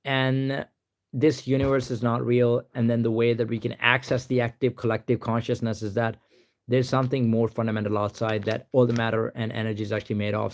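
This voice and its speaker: Rambling young Pakistani man chatting on a stream. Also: medium pitch. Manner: rambling, reads answers aloud, says "to be honest" a lot. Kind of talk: casual live-stream chat.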